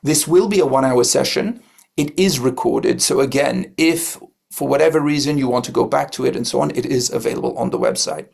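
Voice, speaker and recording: A man hosting a live online meditation session, introducing it and welcoming his co-hosts in a calm, measured way. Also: medium pitch, vocal fry. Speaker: host.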